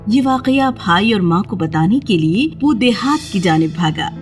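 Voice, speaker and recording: A woman's voice: medium pitch, animated, soft background music. A woman narrating a children's fairy tale from a script as the storyteller.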